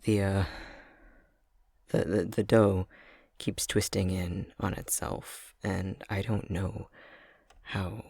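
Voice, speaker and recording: A young man playing a clumsy, self-conscious fox-shifter boyfriend in an intimate ASMR roleplay, speaking hesitantly with a soft, breathy, gravelly voice. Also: sits low, level tone, slow, long pauses, no echo, studio quality, exaggerated voice. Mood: flustered, embarrassed. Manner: hesitant, stammering, self-deprecating.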